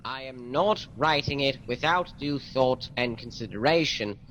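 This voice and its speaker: An actor playing a deferential, methodical police sergeant in a radio mystery, careful and deliberate. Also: male voice, mid-range voice, a bit bright, slight background noise, rough recording. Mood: dutiful. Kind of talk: radio mystery drama acting.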